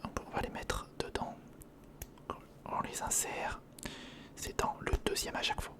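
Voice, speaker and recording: An ASMR creator speaking in a breathy, unvoiced whisper, casually thinking aloud and repeating words, close to the microphone. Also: a little slow. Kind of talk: ASMR, whispered build-along commentary. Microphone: close recorder microphone.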